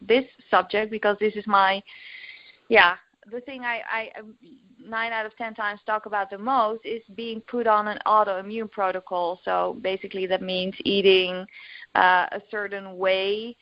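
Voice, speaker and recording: A woman's voice: mid-range voice, flat delivery, measured pace, no room sound. A young woman with a Dutch accent, a podcast guest talking openly with many fillers and restarts. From Netherlands. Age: young adult. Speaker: guest, recovery coach. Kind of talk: podcast interview. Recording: dull lo-fi audio.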